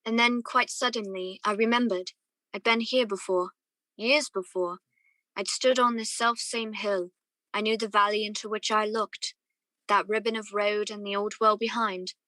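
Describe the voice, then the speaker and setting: A woman's voice: medium pitch, steady tone, moderate pace, bright, forceful tone, dry recording, natural voice. A young woman playing a wistful, courteous man who narrates his memories lyrically in a radio play. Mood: nostalgic, dreamy. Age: young adult. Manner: lyrical, reflective storytelling. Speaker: student actor.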